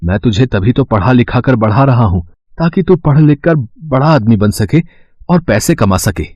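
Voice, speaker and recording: A man's voice: mid-range voice, expressive, normal speed, a little mellow, no room sound, natural voice. A man voicing a humble servant father, kindly and encouraging, in a children's moral story. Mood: reassuring.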